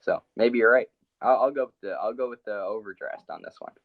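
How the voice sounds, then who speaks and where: Young male podcast co-host, low pitch, lo-fi dry sound, hesitant and laughing. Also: a little fast, a little hiss or hum. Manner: laughs often, hesitant with many ums. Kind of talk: casual sports podcast chat. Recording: dull, dry podcast audio.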